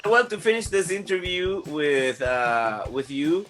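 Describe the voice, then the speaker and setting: A man's voice: fairly high pitch, big pitch swings, a little slow. A young adult man hosting a podcast interview, talking loosely with lots of "like" and fillers. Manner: loose, filler-heavy, warm-hearted chatter. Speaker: podcast host. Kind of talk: casual interview chat.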